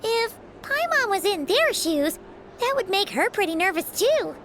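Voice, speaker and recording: A woman voicing a tiny, excitable, childlike fairy companion in a video game, with a squeaky, perky delivery. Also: unhurried, background noise, heavily acted. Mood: curious, eager. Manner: perky, refers to herself in third person.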